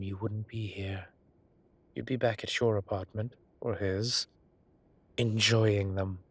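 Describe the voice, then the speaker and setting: A man playing a suave, gossip-loving gentleman boss in an intimate audio roleplay, arch and playfully dramatic, with breathy, drawling delivery. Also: on the low side. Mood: knowing, teasing. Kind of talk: scripted intimate roleplay acting.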